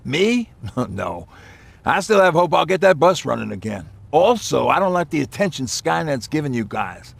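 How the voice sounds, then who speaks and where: Gravelly, husky male survivor. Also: on the high side, big pitch swings, normal speed, heavily acted. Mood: wry, wary. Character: cautious, easygoing male civilian survivor. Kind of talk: dramatic video game acting.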